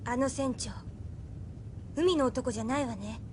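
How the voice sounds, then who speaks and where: A woman's voice: high-pitched, a little slow, a little mellow, a little hiss or hum. A woman playing an anxious, suspicious young island girl with a pleading, informal manner in an audio drama. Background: faint music.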